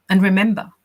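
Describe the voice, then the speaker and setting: A woman's voice: medium pitch, a little mellow, husky. A woman from Austria, a business coach teaching a live workshop in a chatty, encouraging way, often checking in with "right?" and "you know".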